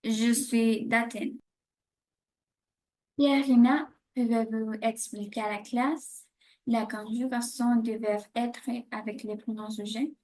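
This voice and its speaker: A woman giving a scripted French grammar explanation with measured example sentences. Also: fairly high pitch, steady tone, mellow. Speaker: French teacher.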